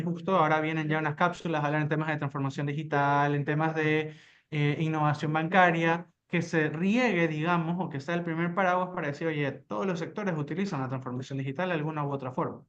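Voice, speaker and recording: A man's voice: a little high, fairly bright tone, dull audio. A man speaking as a panelist and tech cluster leader, talking in a promotional, businesslike way. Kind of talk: webinar panel discussion.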